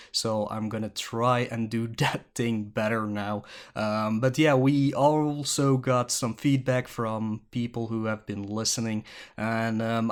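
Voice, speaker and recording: Flemish man hosting a podcast alone. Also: medium pitch. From Belgium. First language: Dutch. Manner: rambling, self-mocking and jokey. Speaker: podcast host. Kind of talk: casual solo podcast talk. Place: at a creaky desk at home.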